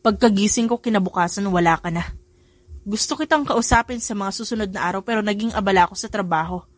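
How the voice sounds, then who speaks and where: A woman's voice: medium pitch, fast talker, no echo, soft background music, lightly acted. A woman voicing an earnest young man in a romance audio story, speaking in an insistent, emotional, pleading manner.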